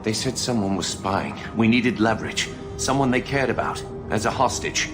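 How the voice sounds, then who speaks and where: A man's voice: lowish voice, expressive, normal speed, lightly acted. A man playing an anxious, earnest rebel conspirator in a video game drama, pleading and defensive. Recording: loud music behind the voice.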